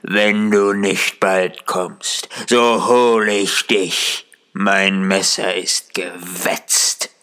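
A man voicing a menacing, commanding, murderous husband in a fairy tale, speaking slowly and threateningly. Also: sits low, little pitch movement, bright, forceful tone, full character voice. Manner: slow, threatening, angry.

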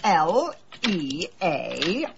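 An actress playing a shrewd middle-aged amateur sleuth in a radio drama, with a polite, tactful and proper way of talking. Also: female voice, lowish voice, very animated, extremely slow, vocal fry, lo-fi sound, slight background noise, full character voice. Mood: light, sociable.

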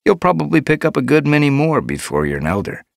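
A man doing a steady, caring cat clan leader. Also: on the high side, fast talker, creaky, dry recording, clean studio sound, pitched above the performer's own voice.

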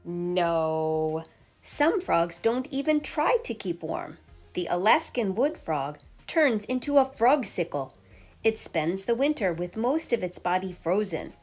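A woman's voice: medium pitch, big pitch swings, slow pace, darkish tone, soft background music. A woman reading a children's picture book aloud like a teacher, with emphatic storytelling delivery. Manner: clear storytelling delivery with emphatic questions and answers.

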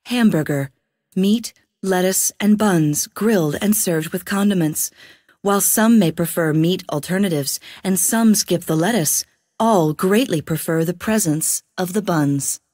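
A woman with a somewhat low voice delivers food descriptions with dry, sarcastic deadpan. The recording is close and dry, with no room sound. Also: a little slow, no echo. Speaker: in-game food description narrator. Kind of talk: scripted game voice lines.

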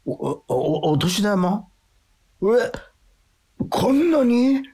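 Husky, flustered Kansai father. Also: high-pitched, very animated, extremely slow, mellow, exaggerated voice. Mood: flustered, startled. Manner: stammering, flustered. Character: struggling, tender working-class father, small factory boss. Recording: music bed behind the voice.

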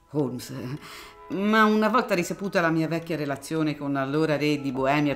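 An actress playing an elderly former stage singer, poised and gracious, speaking in a formal, measured, courteous way. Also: female voice, sits low, lightly acted. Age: older. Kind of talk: audio drama acting.

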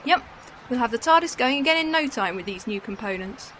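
An eager, chatty companion to a time traveller, as a woman plays her, with a high voice. Faint noise sits behind her in this fan-made radio play. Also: animated, brisk, a bit bright. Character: bright, enthusiastic female time-travelling companion.

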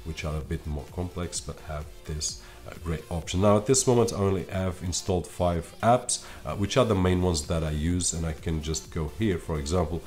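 A man's voice: sits low, steady tone, normal speed, few pauses, a little mellow, faint music behind. A man in his thirties whose first language is Portuguese, reviewing tech gear to camera from a home office in a chatty way. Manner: chatty, talks straight to viewers with frequent fillers. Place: home office with computer desk.

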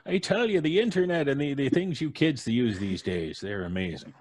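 A man's voice: medium pitch, animated, moderate pace, darkish tone, no echo. A man casually hosting a podcast livestream, speaking as the host on a video call. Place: indoor room, remote video call.

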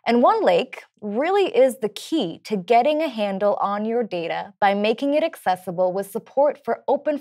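A woman's voice: fairly high pitch, a little mellow. A woman in her thirties giving a scripted product explanation as a guest expert in a studio. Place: studio set at a desk.

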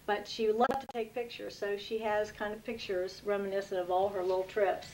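A middle-aged woman answering interview questions at home, soft and halting, pausing often. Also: medium pitch, flat delivery, a little hiss or hum, poor audio. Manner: soft, halting, speaks slowly with pauses. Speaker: interviewee, grieving mother. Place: indoors at home.

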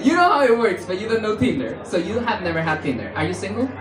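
Young Spanish comic, animated and playful, heard from afar in a roomy club with a loud laughing crowd. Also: male voice, quick pace. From Spain. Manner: animated, playful, jumps into high mock voices for quotes. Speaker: stand-up comedian. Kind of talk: stand-up comedy set. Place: small comedy club stage, indoors. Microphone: handheld mic. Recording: roomy club sound from far off, audience laughing.

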